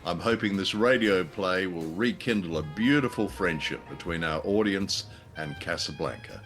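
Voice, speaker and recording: Very deep-voiced, playful male co-host. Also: moderate pace, a bit bright. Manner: effusive, tongue-in-cheek. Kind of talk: playful, theatrical show introduction. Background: faint music.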